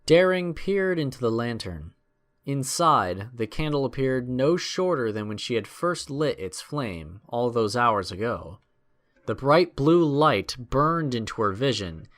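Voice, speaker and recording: A man's voice: medium pitch, sing-song, measured pace, a bit bright. A man narrating a scripted adventure story in a dramatic storytelling style.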